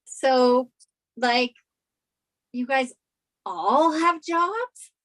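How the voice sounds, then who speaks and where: A woman's voice: high voice, expressive, extremely slow, fairly bright tone, heavily acted. An actress reading the part of a naive, sheltered young woman in a sitcom table read. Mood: naive curiosity.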